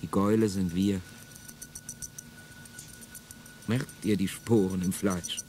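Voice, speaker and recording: A man's voice: deep voice, fairly even intonation, normal speed, darkish tone, slight background noise, natural voice. An actor playing an embittered male revolutionary in a classic radio drama, brooding and swelling into bitter outbursts, with music behind him. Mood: impassioned.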